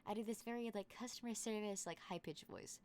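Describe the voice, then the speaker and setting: A woman's voice: mid-range voice, fast, darkish tone, clean tone. A young woman in casual voice-call chat as a tabletop game player, rambling with many fillers. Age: young adult.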